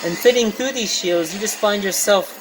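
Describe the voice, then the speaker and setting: A man with a high voice who gives a comedic retro game review in an excitable, emphatic, jokey way. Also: normal speed, music in the background, low-quality recording.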